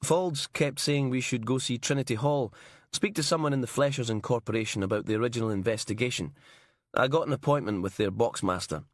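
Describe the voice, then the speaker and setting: A man's voice: medium pitch, a little fast, no room sound, close to an everyday voice. A man doing a weary, terse detective. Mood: dry. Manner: dry, terse. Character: weary male detective sergeant, dry and terse.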